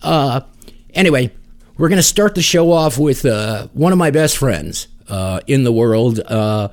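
A man's voice: mid-range voice, expressive, measured pace. A man hosting a music podcast in a casual, rambling way with wry asides. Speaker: podcast host.

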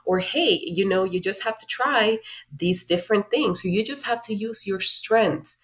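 A woman's voice: medium pitch, moderate pace. A woman from Honduras who works as a life coach, speaking earnestly as a podcast guest. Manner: earnest, reflective, encouraging. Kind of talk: casual podcast interview.

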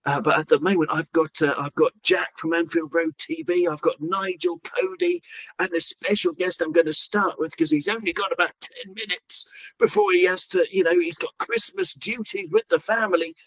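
A man's voice: on the high side, moderate pace, a bit bright, low-quality recording. Chatty man hosting a football podcast. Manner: chatty, joking, lots of fillers. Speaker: podcast host. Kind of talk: casual football podcast chat.

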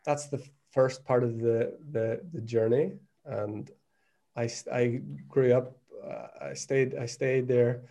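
Earnest Northern Irish man, mellow voice. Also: medium pitch, fairly even intonation, slow pace. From Northern Ireland. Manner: earnest, searching, repeats words while thinking. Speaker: guest. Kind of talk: reflective conversational podcast talk.

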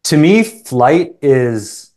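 A man's voice: a little high, very animated, very slow, darkish tone. A middle-aged man with a Canadian accent, talking earnestly and reflectively as a guest in a casual interview, often checking in with short tag questions. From Canada, born in England. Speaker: interview guest, sales coach.

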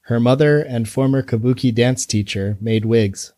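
A man narrating a documentary in a measured, even scripted delivery. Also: mid-range voice. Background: background music.

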